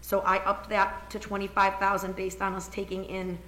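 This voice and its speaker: A woman with a hoarse, scratchy voice presenting a budget report to a council, chatty and informal, thinking aloud as she goes. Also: mid-range voice, monotone, moderate pace, fairly bright tone, reverberant. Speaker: municipal finance officer presenting the budget. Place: council meeting room.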